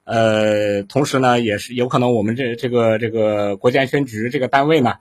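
A man co-hosting a live interview, speaking in halting, repetitive phrases. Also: medium pitch, fairly even intonation. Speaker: co-host interviewing guests. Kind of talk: live broadcast interview chat.

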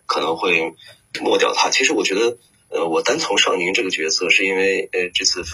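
A man with a deep voice and a sharp, forceful edge answers interview questions as a guest. His tone is very gravelly and unclean. He speaks reflectively and hesitantly, feeling his way toward each phrase. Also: a little fast, poor audio. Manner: hesitant, searching for words. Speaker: voice actor, guest.

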